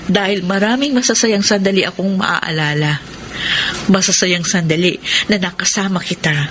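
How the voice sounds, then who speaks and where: An actress playing a tender, sorrowful woman parting from her lover in a Filipino radio drama, speaking with halting, sentimental emotion. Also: on the low side, a bit bright, slight background noise, natural voice. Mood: bittersweet.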